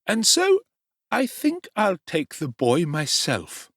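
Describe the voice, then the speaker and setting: A man voicing a fawning, sly middle-aged undertaker in a dramatic audiobook, with a high, drawn-out wheedling way of agreeing. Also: huge pitch swings, fairly bright tone, no echo, clean studio sound, full character voice.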